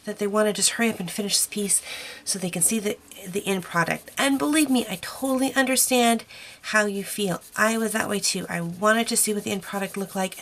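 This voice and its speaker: A woman's voice: mid-range voice, gravelly, fairly bright tone. A woman hosting an art tutorial, chatty and encouraging with lots of fillers, her nose stuffy from a cold. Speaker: art channel host.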